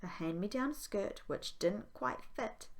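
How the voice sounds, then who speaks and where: A woman's voice: medium pitch, animated, slow, creaky. A woman, a primary school teacher, reading a children's story aloud expressively.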